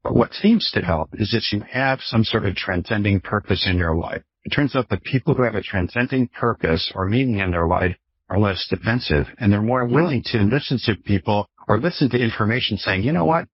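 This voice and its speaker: A man in his fifties speaks as an expert guest, in a lowish, somewhat rough voice. He explains conversationally, working through examples and rhetorical questions. The sound is dry and a little dull, like lo-fi call audio. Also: expressive, fairly quick, dry recording. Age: 50s. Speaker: professor, author and guest expert. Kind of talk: explanatory interview talk with illustrative anecdotes.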